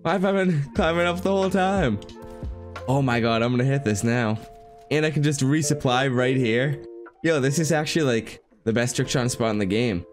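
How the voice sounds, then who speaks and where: A man's voice: medium pitch, moderate pace, soft background music. A young man in his twenties with a stuffed-up, nasal voice from a cold, chatting upbeat over gameplay as a gaming YouTuber. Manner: upbeat, hyped, chatty with friend. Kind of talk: casual gameplay commentary. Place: small room at home.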